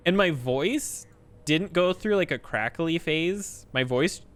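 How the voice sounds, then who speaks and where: A man's voice: a little high, lively intonation, slow pace, fairly bright tone. A man in his twenties chatting casually while playing a game, rambling, swearing freely and joking about himself. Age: 20s. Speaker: streamer.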